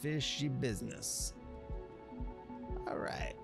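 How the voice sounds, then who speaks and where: A man with a gravelly voice, playing a streetwise storyteller in rambling, slangy talk over gameplay. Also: mid-range voice, slow pace. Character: streetwise storyteller recounting a local legend. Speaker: let's player narrating a roleplay story. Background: game music.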